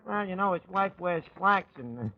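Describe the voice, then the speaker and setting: A high-pitched, swooping New York wise guy, the slangy, sardonic manager of a tavern who mangles his grammar. It is 1940s radio comedy, heard on a muffled old broadcast. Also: animated, normal speed, slight background noise. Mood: chatty, wry. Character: wisecracking, malaprop-prone male manager of a tavern.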